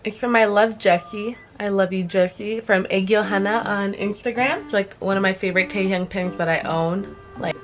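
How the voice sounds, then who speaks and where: A woman's voice: mid-range voice, steady tone, normal speed, few pauses, lo-fi sound. A woman in her twenties with an American accent chatting casually and informally to camera as a vlogger, in a bubbly way. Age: 20s. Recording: music playing behind the voice.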